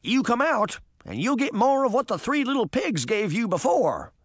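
A man's voice: very high voice, big pitch swings, normal speed, no echo, studio quality, voice pushed up, full character voice. A man voicing a portly male ex-policeman in a storybook, a firm leader who scolds and commands. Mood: defiant.

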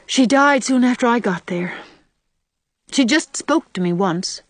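A woman's voice: fairly high pitch, animated, measured pace, no room sound, pitched above the performer's own voice. A woman voicing a weary, hurt countrywoman who speaks in a plaintive, confiding way in an audiobook. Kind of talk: audiobook character voice.

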